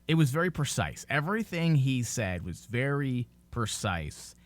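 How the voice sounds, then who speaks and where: A man's voice: mid-range voice, big pitch swings, unhurried. A man hosting a fan podcast in casual discussion, emphatically repeating phrases to make his point. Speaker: podcast host.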